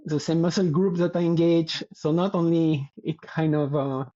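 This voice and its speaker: A French-accented man of about sixty with a slightly high yet dark, mellow voice. He chats as an interview guest, hesitating and hunting for words. The recording sounds a little dull and lo-fi. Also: fairly even intonation. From France. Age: around 60. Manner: halting, searching for words, laughing lightly. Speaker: guest athlete. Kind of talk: casual interview talk.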